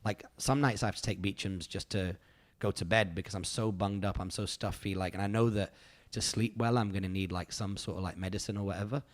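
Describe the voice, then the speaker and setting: A man's voice: deep voice, fairly even intonation, fairly quick, few pauses. A man, hosting a podcast and reflecting on his year in a rambling, earnest way full of fillers. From United Kingdom. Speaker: podcast host. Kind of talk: casual reflective chat.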